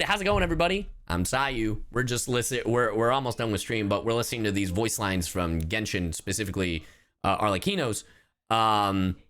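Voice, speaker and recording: A man streaming live reaction commentary, casual and expressive, slangy and swearing freely. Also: on the low side. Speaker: streamer, host.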